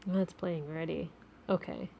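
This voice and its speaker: A woman's voice: low voice, fairly even intonation, unhurried, vocal fry, dull audio, a little hiss or hum. A woman chatting casually and spontaneously as she tries out a product. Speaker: host's wife, guest trying the product. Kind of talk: casual chat, spontaneous reactions.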